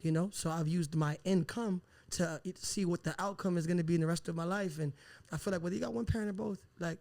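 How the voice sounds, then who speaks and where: Fast-talking man with a high voice. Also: vocal fry. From Puerto Rican roots. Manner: animated storyteller, acts out voices. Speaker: podcast guest, musician. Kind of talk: casual podcast conversation.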